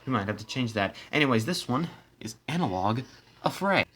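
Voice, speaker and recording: Male host for casual game commentary: quick, rambling, loose delivery with deadpan asides. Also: medium pitch, a little hiss or hum. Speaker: host narrating a simulation.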